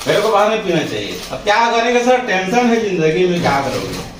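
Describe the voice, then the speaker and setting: High-pitched drunk man, defiant. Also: huge pitch swings, fairly quick, far from the mic, background noise. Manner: defiant, challenging, repetitive. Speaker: school teacher. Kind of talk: heated spontaneous confrontation. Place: inside a school. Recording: echoey, off-mic, music laid over.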